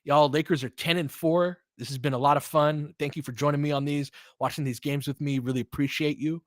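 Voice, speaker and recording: A young man hosting a casual live game stream, giving play-by-play and chatting with viewers by name in a relaxed, conversational way. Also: a little high, brisk, no room sound, studio quality. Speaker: host of a live game watch-along stream.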